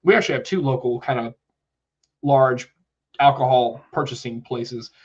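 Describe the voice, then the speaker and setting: A man's voice: mid-range voice, normal speed, husky, lo-fi sound. A man co-hosting a live tech podcast, a bit congested from a cold, rambling and reading out chat messages. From United States. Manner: rambling, chatty, reads out chat comments. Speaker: podcast co-host. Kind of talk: casual live-stream chat.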